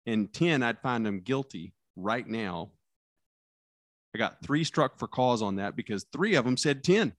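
A man who is a criminal defense lawyer talking casually about trial practice, with a loud, carrying voice, acting out courtroom lines and dropping his voice low for effect. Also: a little slow, no echo, clean studio sound. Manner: animated storyteller, acts out courtroom lines, drops voice low for effect.

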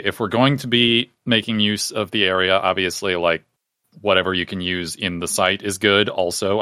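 A man playing a tabletop game, casually and frankly talking through plans as he deliberates. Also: sits low, bright, forceful tone, no echo, clean studio sound.

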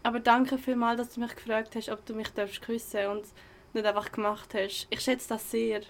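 A young woman with a Swiss German accent playing a kind, apologetic partygoer in an audio drama, speaking gently and hesitantly. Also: a little high, little pitch movement, moderate pace, natural voice. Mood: apologetic, tender. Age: young adult. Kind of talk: amateur audio drama dialogue. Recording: music underneath.

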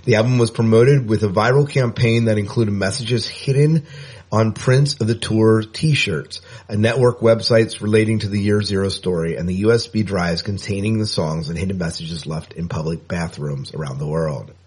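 A man's voice: fairly low pitch, steady tone, normal speed, a little mellow, husky. A man co-hosting a podcast, chatting casually and reading news items in an informal, jokey way. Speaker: podcast co-host.

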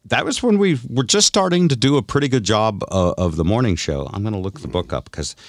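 A man's voice: on the low side, animated, fairly quick, no echo, clean studio sound. An older man hosting a radio show, chatting and telling stories casually in a conversational, wry way. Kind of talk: casual radio banter and storytelling.

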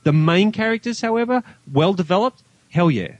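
A man's voice: high voice, expressive, normal speed, no echo, muffled recording. A man with an Australian accent giving his opinions in a casual film discussion, emphatic and reasoning aloud as he goes. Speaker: podcast guest reviewing a film.

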